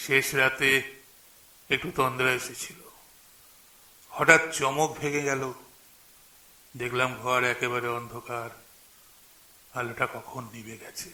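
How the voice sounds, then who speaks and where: A man narrating a suspense story in a measured, dramatic manner with weighty pauses. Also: medium pitch, little pitch movement, sharp tone, roomy sound, rough recording.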